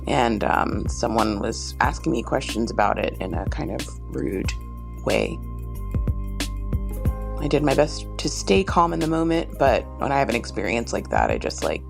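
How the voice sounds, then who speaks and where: A woman's voice: very deep voice, sing-song, normal speed, vocal fry, over music. A woman telling a personal anecdote in a hesitant way. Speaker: caller sharing a story.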